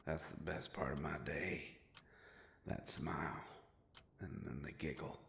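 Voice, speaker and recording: A man playing a kindly, protective werewolf private investigator in an intimate audio drama, with a gravelly voice and an easygoing, folksy way of talking. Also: a bass voice, fairly even intonation, unhurried, fairly bright tone, slight background noise, full character voice. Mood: fond murmuring.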